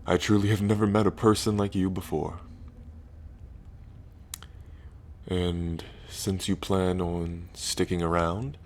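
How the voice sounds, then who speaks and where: A man playing a lonely ancient dragon king in roleplay ASMR, proud yet bashful, with halting, deliberate phrasing. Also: low-pitched, little pitch movement, a little mellow. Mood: conceding, touched. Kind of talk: roleplay ASMR audio drama.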